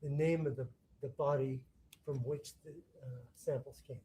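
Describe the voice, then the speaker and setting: Man, courtroom prosecutor, warm dark tone, methodical but halting questioning. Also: medium pitch, steady tone, a little hiss or hum, low-quality recording. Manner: halting, rephrases questions mid-sentence.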